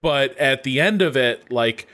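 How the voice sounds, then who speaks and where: A man co-hosting a motorsport podcast, telling stories casually and excitedly, acting out what people said. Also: mid-range voice, a little slow.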